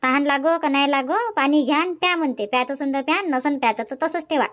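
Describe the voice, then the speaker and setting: A woman doing a high-voiced gossipy village woman. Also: quick pace, fairly bright tone. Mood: complaining. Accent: Vidarbha Marathi. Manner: gossipy, complaining, rambling. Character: chatty village woman visiting a neighbour.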